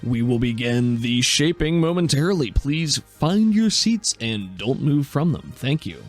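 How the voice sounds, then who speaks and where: A man voicing a loud arena announcer making a formal announcement to a colosseum crowd in a tabletop game. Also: mid-range voice, big pitch swings, unhurried, soft background music. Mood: formal, booming.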